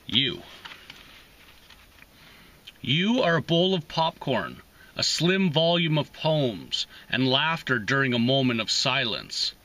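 A man in his thirties reading poetry aloud with deliberate pauses between short phrases, as host of a reading series, recorded inside a parked car. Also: medium pitch, sing-song, bright, forceful tone. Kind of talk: slow, emphatic poetry reading.

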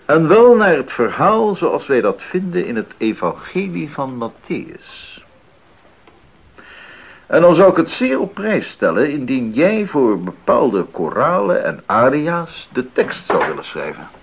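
Slow, expressive, earnest composer. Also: male voice, mid-range voice, slightly rough, exaggerated voice. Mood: thoughtful, earnest. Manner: measured, emphatic, formal. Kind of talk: 1980s radio drama acting. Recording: slightly dull 1980s radio recording.

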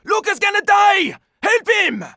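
An actor playing a gruff, battle-worn survivor in a zombie video game, giving terse, intense callouts in a gravelly, raspy voice. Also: male voice, very high voice, sing-song, measured pace, no room sound, clean studio sound, full character voice. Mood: urgent, alarmed. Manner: terse, intense callouts, often strained or shouted. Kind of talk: video game combat voice lines.

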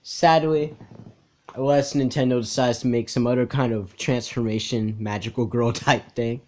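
A man's voice: medium pitch, steady tone, moderate pace, a little mellow. A young man hosting a reaction video with casual, off-the-cuff commentary. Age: young adult.

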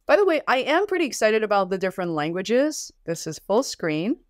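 A woman in her thirties, a native Mandarin Chinese speaker, chatting upbeat through a software tutorial in her home studio. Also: mid-range voice, sing-song, brisk, dry recording. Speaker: host.

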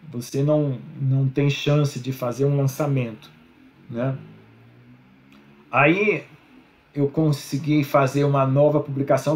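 A middle-aged Brazilian man with a Brazilian Portuguese accent gives an online slide lecture. He explains step by step, often adds "né?" and corrects himself mid-word. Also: medium pitch. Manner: explanatory, frequent "né?" tags, self-corrections. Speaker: university lecturer. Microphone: video call.

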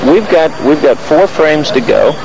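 A man's voice: on the high side, expressive, a little slow, vocal fry. A man giving analytical, explanatory live color commentary on a bowling match. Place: bowling alley. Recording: very noisy TV audio from bowling alley.

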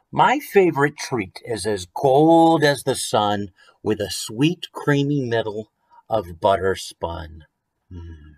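A man in his forties with an American accent, hosting a story time in an expressive, conversational way with chatty asides. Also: on the low side. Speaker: author reading his picture book. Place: small room at home, indoors.

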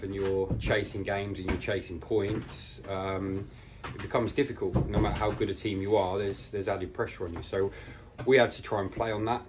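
A man in his forties with a deep voice speaks as a football manager after a match. His delivery is measured and flat, pausing mid-thought. He is recorded on a camera mic indoors with slight background noise. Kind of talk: post-match interview. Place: small bare indoor room.

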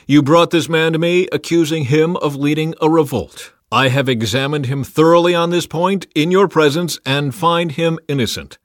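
A man voicing a forceful, exasperated Roman governor addressing a crowd in a dramatized scripture reading, with loud, emphatic questioning. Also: fairly high pitch, moderate pace, few pauses, no room sound, studio quality, pitched above the performer's own voice, close to an everyday voice. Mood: firm, declaring.

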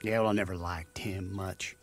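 A man's voice: deep voice, steady tone, moderate pace, soft background music, natural voice. An actor playing a sly rival gang boss in a Western game, mocking and needling as he talks. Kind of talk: game cutscene dialogue.

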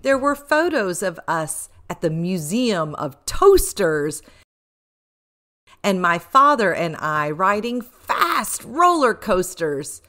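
A woman reading a rhyming children's picture book aloud with expressive, rhythmic delivery. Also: medium pitch, takes it slowly.

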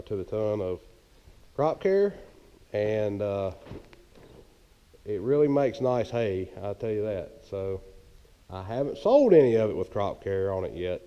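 A man's voice: fairly low pitch, highs cut off. A man, chatting casually and rambling with many fillers, filmed on a handheld camera in a hay barn. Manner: rambling, easygoing, filler-heavy. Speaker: farmer vlogger. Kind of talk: casual farm vlog. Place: open hay barn, indoors. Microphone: handheld action camera at arm's length.

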